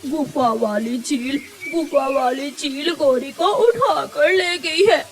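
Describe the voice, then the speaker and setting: A woman's voice: high voice, slow pace, faint music behind. A woman voicing an anxious male crow in a children's cartoon, urgent and pleading.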